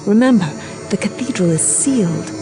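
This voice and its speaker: A woman's voice: mid-range voice, lively intonation, moderate pace, mellow, soft background music, close to an everyday voice. A woman playing a grave, commanding ally of nature in a video game cutscene, speaking with urgency. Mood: urgent.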